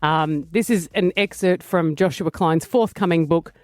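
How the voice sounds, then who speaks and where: An Australian woman co-hosting a breakfast radio show from a studio, teasing and enthusiastic, with quick laughs. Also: lowish voice, no echo. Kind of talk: casual breakfast radio banter.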